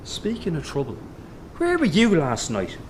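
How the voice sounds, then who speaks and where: A middle-aged circus boss in a radio drama. He is weary, curt and exasperated, with a voice a little higher than most men's. There is some noise behind him. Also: wildly swooping pitch, a little slow. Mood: accusing, irritated. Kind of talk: naturalistic radio drama acting.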